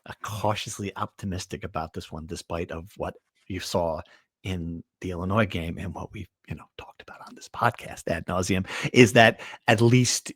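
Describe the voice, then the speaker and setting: A man's voice: sits low, moderate pace, a bit bright, husky, no echo, studio quality. A man co-hosting a sports podcast, talking through games in a casual, conversational style.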